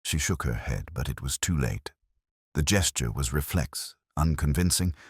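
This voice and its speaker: A man playing a world-weary, observant middle-aged detective, narrating a mystery in a measured, literary, reflective way. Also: very deep voice, expressive, slightly rough, no echo, clean studio sound, heavily acted. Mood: brooding, reflective. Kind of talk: scripted first-person mystery narration.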